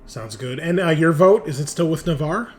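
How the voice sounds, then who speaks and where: A man's voice: a little high, moderate pace, a little mellow, faint music behind. A man hosting a tabletop game podcast, bantering casually with players in a laid-back, sweary way. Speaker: host and game master of a tabletop RPG podcast.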